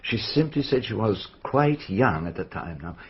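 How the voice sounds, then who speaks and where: An actor playing a courteous, probing, mature detective in a radio mystery, speaking formally and precisely. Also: male voice, lowish voice, expressive, slightly rough. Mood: gently probing. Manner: formal, courteous, measured questioning. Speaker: detective questioning witnesses. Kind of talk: radio mystery drama acting. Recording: muffled radio drama recording, background noise.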